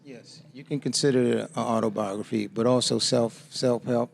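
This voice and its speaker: A man in his fifties from the Bahamas, telling his life story as a guest author in a measured, reflective way. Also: medium pitch, steady tone, gravelly.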